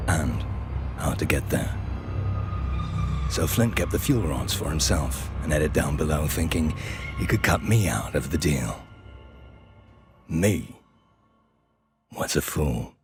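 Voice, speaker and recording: An actor playing a scheming, greedy underhive crime broker, narrating a game's story in a wry, measured, self-satisfied way. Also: male voice, extremely low pitch, lively intonation, heavily acted. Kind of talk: dramatic scripted game narration. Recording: loud music behind the voice.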